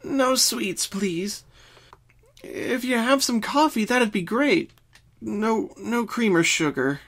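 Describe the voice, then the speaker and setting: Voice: medium pitch, slow pace, fairly bright tone, voice pushed up. A performer voicing a frightened, exhausted young female pony with a quivering, pleading voice in a cartoon fan reading. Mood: weary. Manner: whiny, dramatic, pleading.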